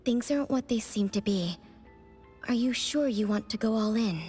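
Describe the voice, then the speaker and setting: A woman playing an enigmatic fortune-telling witch in a video game, speaking in a cryptic, deliberate, oracular manner. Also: fairly high pitch, a little mellow, close to an everyday voice. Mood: solemn warning. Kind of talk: scripted video game voice acting. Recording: music behind the voice.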